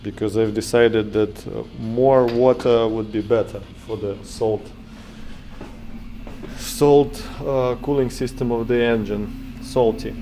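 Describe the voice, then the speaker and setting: Slow, halting Russian-accented man. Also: medium pitch, fairly even intonation, dark tone, faint music behind. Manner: halting non-native English with many uh fillers and restarts. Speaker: maker vlogger. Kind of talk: casual workshop vlog. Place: home workshop room, indoors. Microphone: action camera held or mounted close.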